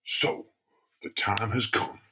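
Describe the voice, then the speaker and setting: A man voicing a huge, gruff, world-weary monster of a man with a gravelly voice in a dramatic comic-book reading. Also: slow pace, background noise, natural voice. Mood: weary, grim.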